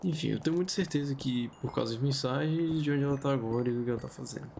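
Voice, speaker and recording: A man's voice: mid-range voice, quick pace, creaky, darkish tone, slight background noise. A man with a Brazilian accent casually telling stories in an informal, chatty way, with conversational asides. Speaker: host of a story-reading video channel. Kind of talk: casual chatty commentary and storytelling.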